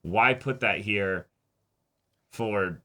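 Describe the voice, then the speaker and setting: A man co-hosting a reaction show, arguing his points casually in a questioning, animated way. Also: on the low side, extremely slow. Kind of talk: casual anime discussion.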